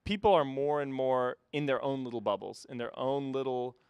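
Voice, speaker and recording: Smooth-voiced, measured man filmmaker. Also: mid-range voice, no room sound, lo-fi sound. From California. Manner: measured, explanatory, some fillers. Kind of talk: casual interview answers.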